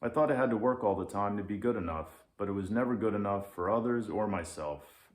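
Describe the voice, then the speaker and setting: A man's voice: lowish voice, a little fast, lightly acted. A man voicing a grumpy, regretful older lynx in a children's storybook. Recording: echoey room.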